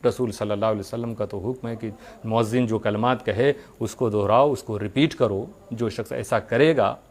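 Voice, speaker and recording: A man's voice: fairly low pitch, fairly even intonation. Preacher, a man exhorting earnestly. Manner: earnest, exhorting, with rhetorical questions and deliberate pauses. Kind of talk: religious sermon addressed to followers.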